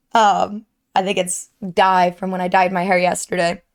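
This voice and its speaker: A young woman with a sore, strained throat giving an earnest, rambling update to her viewers from her desk at home. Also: fairly low pitch. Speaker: streamer and YouTuber. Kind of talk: candid personal update to viewers.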